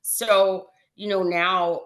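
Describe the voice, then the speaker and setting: A woman's voice: medium pitch, flat delivery, drawn-out words, fairly bright tone. A woman from Canada talking casually in an interview over a video call, chatty with hesitant fillers. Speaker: brand ambassador.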